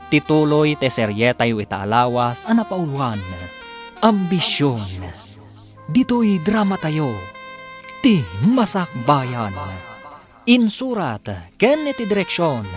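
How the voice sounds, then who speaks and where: A man with an Ilocano accent narrating and announcing a radio drama serial in a dramatic scripted style. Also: on the high side. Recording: music behind the voice.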